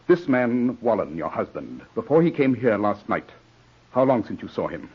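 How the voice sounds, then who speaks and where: An actor playing a composed, authoritative gentleman in a 1940s radio drama, husky-voiced, measured and questioning. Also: fairly low pitch, big pitch swings, exaggerated voice. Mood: grave, controlled. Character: composed, commanding English gentleman, brisk and probing. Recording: muffled vintage radio recording.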